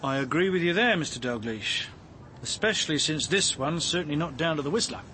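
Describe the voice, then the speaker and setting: Blunt, wry detective inspector for radio crime drama. Bright, forceful delivery, animated intonation. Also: male voice, a little high, fairly quick, a little hiss or hum. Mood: matter-of-fact. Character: gruff, sardonic male police inspector. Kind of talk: acted British radio drama dialogue.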